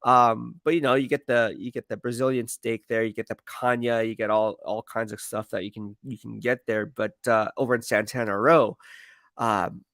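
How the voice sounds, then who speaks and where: Male sports streamer, gravelly edge, dry close sound, casual and emphatic, rambles and circles back on his points. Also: mid-range voice, level tone, moderate pace, fairly bright tone, dry recording. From San Francisco Bay Area, United States. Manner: rambling, slangy, emphatic, repeats himself. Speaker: sports streamer and football commentator. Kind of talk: casual live stream chat, answering viewer comments.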